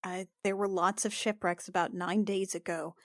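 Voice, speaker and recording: Woman speaking gently in a dry room. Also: medium pitch, flat delivery, normal speed, dry recording. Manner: soothing, hesitant in character. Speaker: player. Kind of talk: casual play in a tabletop game.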